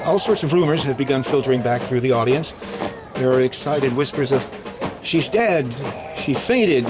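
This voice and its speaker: An actor playing a radio announcer reporting live on a parade in 1950s radio drama style, dramatic and hesitant. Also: male voice, mid-range voice, expressive, normal speed, over music, lightly acted. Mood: uneasy.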